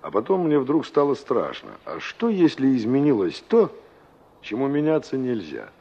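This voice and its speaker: An actor playing a reflective, nostalgic young engineer in a Soviet radio drama, probing and expressive in his delivery. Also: medium pitch, normal speed, slightly rough. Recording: muffled, noisy old radio drama recording.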